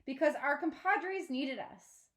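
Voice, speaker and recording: A woman voicing a gentle, reasoning middle-aged father in a children's book read-aloud. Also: high voice, measured pace, pitched above the performer's own voice. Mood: matter-of-fact.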